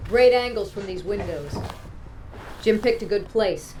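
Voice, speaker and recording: A woman's voice: medium pitch, animated, measured pace, heavy background noise, close to an everyday voice. An actress playing a callous, cynical woman mercenary in a radio drama, dry and detached. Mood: cool, wry.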